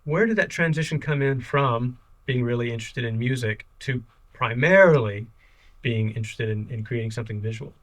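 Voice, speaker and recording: A man at a middle pitch hosts a casual interview. He backs up his guest with agreement and asks long, rambling questions. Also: brisk, fairly bright tone. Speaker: podcast host.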